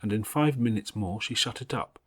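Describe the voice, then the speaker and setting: A man's voice: on the low side, normal speed, fairly bright tone, breathy edge. A man reading scripted literary narration for an audiobook, with dramatic, careful phrasing.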